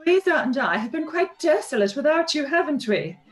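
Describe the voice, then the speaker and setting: A woman's voice: high voice, big pitch swings, a little fast, soft background music. A woman playing a gushing, affected society hostess in a period radio play, effusively polite.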